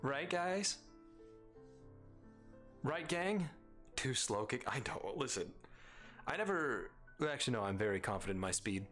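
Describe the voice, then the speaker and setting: A man's voice: medium pitch, sing-song, a little slow, pauses often, fairly bright tone, faint music behind. Playful male streamer with an Arizona mumble. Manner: playful, teasing, rambling jokes. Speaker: live streamer host. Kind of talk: casual chat with viewers.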